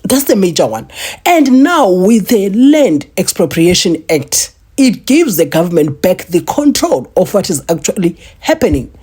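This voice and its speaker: A woman with a deep voice, giving casual political commentary and laughing often, with emphatic rises in pitch. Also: very animated, unhurried, warm tone, husky. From South Africa. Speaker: news commentary vlogger.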